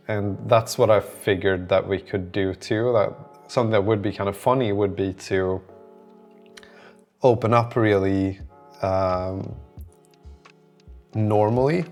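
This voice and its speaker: A man with a fairly low voice records a casual developer vlog. He thinks aloud, rambling and hesitating as he goes, with faint music in the background. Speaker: app developer, vlog host.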